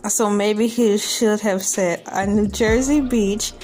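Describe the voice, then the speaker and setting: A woman's voice: mid-range voice, steady tone, takes it slowly, darkish tone, soft background music. A playful, easily amused woman hosting an online video, reading a news article aloud and commenting on it casually. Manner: playful, giggly, easily amused by what she reads.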